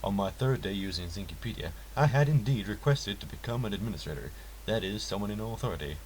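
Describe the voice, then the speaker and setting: A man with a notably low voice narrates a first-person story from a script. His delivery is earnest and theatrical. A light hiss sits under the recording. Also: expressive, fast, a little mellow. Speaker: narrator reading a story aloud. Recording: hissy, clearly noisy home recording.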